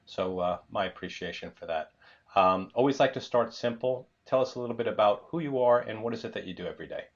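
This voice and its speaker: A man's voice: fairly low pitch, quick pace, muffled recording. A man hosting an interview podcast in an easygoing, chatty way, stumbling on the first words of his phrases. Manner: easygoing, chuckles and stutters on starts. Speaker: podcast host.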